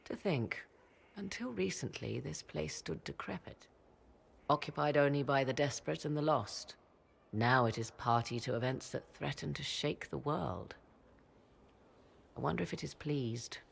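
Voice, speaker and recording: An actress playing a proud, mysterious sorceress in a fantasy video game, with theatrical, archaic and measured phrasing. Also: female voice, low-pitched, lively intonation, vocal fry, breathy edge, exaggerated voice. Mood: solemn, mysterious. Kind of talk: dramatic video game acting.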